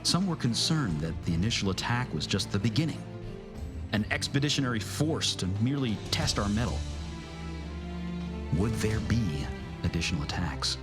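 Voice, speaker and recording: A man playing a grave narrator of a science-fiction war story, reading dramatically with deliberate pauses. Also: lowish voice, very animated. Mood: grave, dramatic. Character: grave soldier-narrator recounting an alien war. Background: clear music.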